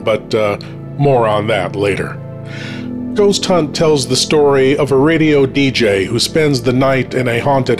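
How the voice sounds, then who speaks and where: Slow, theatrical male horror host. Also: medium pitch. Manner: measured, theatrical, dramatic pauses. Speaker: horror host presenting a countdown. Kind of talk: scripted spooky countdown narration.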